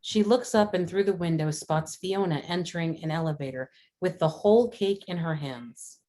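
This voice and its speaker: Low-pitched woman reading screenplay stage directions. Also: moderate pace, mellow. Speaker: stage directions reader at a script table read. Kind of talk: scripted narration of screenplay action.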